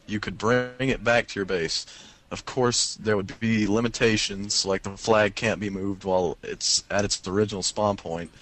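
A man's voice: fairly low pitch, fairly even intonation, moderate pace, a bit bright. A man co-hosting a casual gaming show, reading out listener messages and joking around. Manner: jokey, often laughing through his words. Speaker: podcast co-host.

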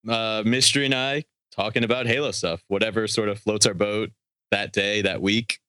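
A man's voice: on the low side, fairly even intonation, normal speed, bright, forceful tone, smooth, no room sound. A man guesting on a podcast, chatting casually, self-mocking and stammering a little.

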